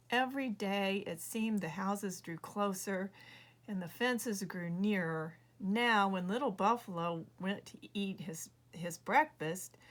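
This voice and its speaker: A woman reading her own children's book aloud as a measured, expressive storyteller. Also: mid-range voice. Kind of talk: storybook narration read aloud.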